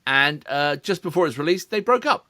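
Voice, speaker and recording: Man, middle-aged, casual co-host chat, lively up-and-down voice, dry close sound. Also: on the high side, brisk, dry recording. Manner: chatty, reads background notes with asides. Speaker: reaction video co-host. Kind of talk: casual chat, reading notes aloud.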